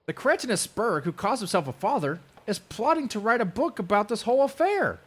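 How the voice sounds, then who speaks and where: A man puts on an aggrieved, mocking male rival for a dramatic reading. The voice is pushed high and swoops around, strained and exaggerated, with a steady sneer. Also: a little fast, breathy edge, heavily acted.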